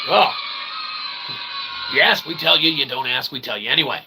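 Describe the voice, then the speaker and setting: A man's voice: medium pitch, normal speed. A man hosting a livestream with a rambling, comedic philosophical monologue, speaking in theatrical, mock-formal phrases with frequent check-in tags. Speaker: livestream host and self-published writer. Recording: clearly noisy recording with background ambience.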